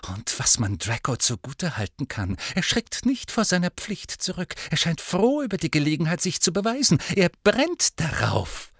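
A man voicing a haughty, zealous dark witch in an audiobook, proud and disdainful. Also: on the high side, wildly swooping pitch, normal speed, hardly any pauses, breathy edge, dry recording, studio quality, voice pushed up, heavily acted. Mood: proud, cool.

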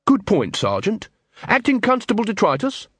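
Guy playing a polite confident young-sounding watch officer, higher pitch, very lively, dry sound. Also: moderate pace, breathy edge, dry recording, exaggerated voice. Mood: calm, assured. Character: calm, earnest, commanding male watch officer. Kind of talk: audiobook character voice.

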